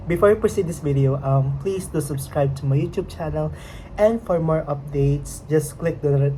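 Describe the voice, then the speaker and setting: Young Filipino-accented woman, unhurried home vlog; low, mellow voice, lots of hesitant pauses, faint room hum behind. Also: poor audio. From Philippines. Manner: hesitant, searching for words, many fillers. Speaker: vlogger. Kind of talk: casual unboxing vlog. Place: home at night.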